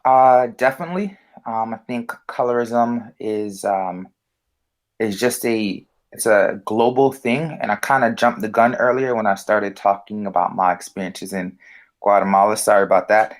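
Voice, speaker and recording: A man's voice: lowish voice, moderate pace. A man co-hosting a casual discussion show, talking with frequent ums and restarts. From United States. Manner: hesitant, many fillers and restarts. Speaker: podcast co-host.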